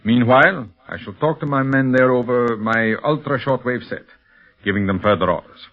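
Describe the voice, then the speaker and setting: An actor playing a cold, imperious criminal mastermind in a 1930s radio serial, speaking formally and deliberately. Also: male voice, medium pitch, lightly acted. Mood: scheming, commanding. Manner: deliberate, commanding, formal diction. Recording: dull 1930s radio recording.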